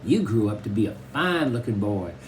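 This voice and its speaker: A man's voice: lowish voice, very animated, brisk, slight background noise, low-quality recording. A man reading aloud and voicing a tiny, doting but bossy old Southern grandmother in a folksy drawl.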